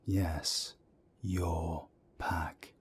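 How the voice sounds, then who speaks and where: A man playing a calm, firm older werewolf councillor and mentor in an audio drama, with a soft, breathy rasp. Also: deep voice, heavily acted. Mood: low, firm. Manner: measured, firm, reassuring. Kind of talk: scripted roleplay audio drama.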